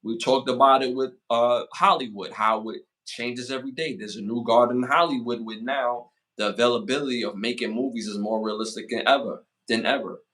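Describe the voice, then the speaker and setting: A man in his forties hosting a casual podcast, giving motivational advice and shout-outs, repeating phrases for emphasis. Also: medium pitch, steady tone. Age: 40s. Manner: hypes the chat with rapid shout-outs. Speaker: podcast host.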